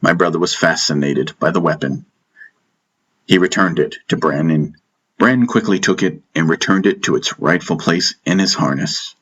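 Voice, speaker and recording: A man narrating a first-person fantasy audiobook in measured storytelling fashion. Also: low-pitched, fairly bright tone, husky.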